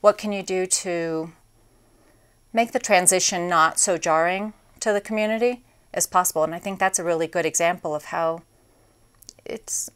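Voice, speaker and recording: Woman in her forties, mayor guest; low, smooth, resonant voice; measured and upbeat; lapel mic in a small studio. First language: English. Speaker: town mayor. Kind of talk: studio interview answers. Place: small TV studio set, indoors.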